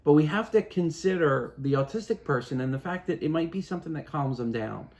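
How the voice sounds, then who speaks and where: A man in his fifties, a father explaining things in a calm, casual chat at home, pausing and restarting as he thinks. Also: fairly high pitch, creaky. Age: 50s. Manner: thoughtful, halting, many restarts and fillers. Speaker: parent.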